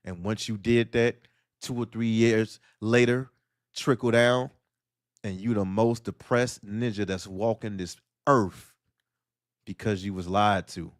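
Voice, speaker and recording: A man's voice: lowish voice, steady tone, a little slow, no echo, clean studio sound. Blunt preachy man ranting solo. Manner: preachy, blunt, hammering rhetorical questions. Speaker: livestream host. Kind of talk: solo livestream monologue.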